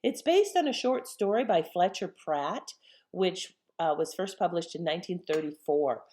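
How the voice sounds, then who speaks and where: A woman's voice: fairly low pitch, animated, moderate pace, a little mellow. A woman hosting with informal, conversational commentary. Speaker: channel host. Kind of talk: casual spoken commentary.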